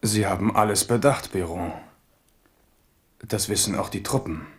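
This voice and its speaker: A man's voice: low voice, moderate pace, creaky. An actor playing a resolute young king leading a small army in a radio drama.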